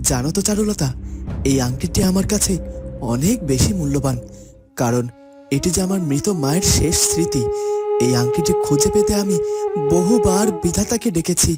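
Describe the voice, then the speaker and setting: A man voicing a calm, noble young prince in a fairy-tale cartoon, explaining things tenderly and in measured phrases. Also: high voice. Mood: tender, reassuring. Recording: loud music under the voice.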